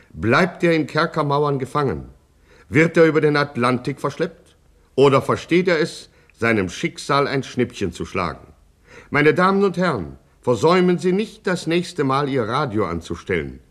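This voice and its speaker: A man's voice: medium pitch, fairly bright tone. A man narrating a radio serial in a theatrical, grandiose, mock-sensational scripted style.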